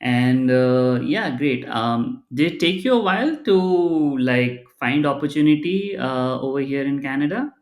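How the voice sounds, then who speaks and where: A man's voice: mid-range voice, expressive, measured pace, hardly any pauses, reverberant. A man hosting an interview who asks long, hesitant questions full of fillers. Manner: hesitant, many fillers like "you know" and "uh". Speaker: podcast host.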